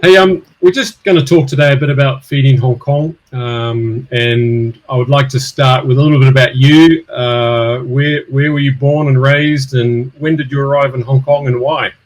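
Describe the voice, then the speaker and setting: A man's voice: medium pitch, fairly even intonation, normal speed, few pauses, low-quality recording. A middle-aged man hosting an interview podcast, often hesitating and repeating words as he asks questions. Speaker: podcast host.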